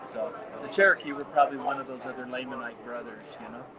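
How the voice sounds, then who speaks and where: A man's voice: medium pitch, fairly even intonation, fast talker, poor audio. An older man chatting casually with visitors at a conference booth, rambling through long anecdotes. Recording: loud crowd chatter behind.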